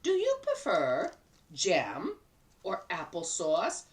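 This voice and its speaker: A woman reading a storybook aloud, voicing a kindly, teasing old grandmother in a high, sing-song voice. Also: huge pitch swings, takes it slowly, distant mic, exaggerated voice. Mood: fond.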